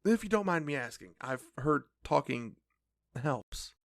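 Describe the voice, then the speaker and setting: A woman playing a protective, cautious fey sibling in an audio drama, earnest and gently stern. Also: low-pitched, lively intonation, measured pace, no room sound, clean studio sound. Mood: gentle.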